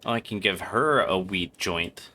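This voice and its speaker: A man's voice: low voice, expressive, measured pace, sharp tone. A man casually commentating on a game as he streams, muttering profane, exasperated asides. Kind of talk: casual live gameplay commentary.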